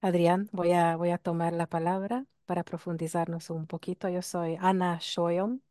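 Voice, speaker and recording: A woman's voice: lowish voice, flat delivery, moderate pace, dark tone, no room sound, studio quality. A woman with a Hungarian accent, explaining ideas in a reflective way and posing rhetorical questions to listeners. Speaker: workshop speaker. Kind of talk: talk on ancestral healing.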